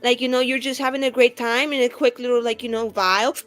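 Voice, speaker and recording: A woman's voice: a little high, fairly even intonation, fairly quick, fairly bright tone, soft background music. A woman playing in a tabletop role-playing game, bantering casually in character with a chatty, slangy, playful manner.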